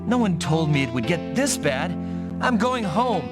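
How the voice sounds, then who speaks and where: An actor voicing a frightened young rookie soldier in an anime-style video game, whiny and hesitant. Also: male voice, high-pitched, big pitch swings, measured pace. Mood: panicked. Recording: loud music under the dialogue.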